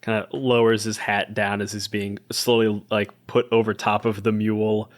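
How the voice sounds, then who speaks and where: A man's voice: lowish voice, flat delivery, normal speed. A man speaking casually as a guest player, narrating his moves and chatting about a tabletop roleplaying game. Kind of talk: casual tabletop play and chat.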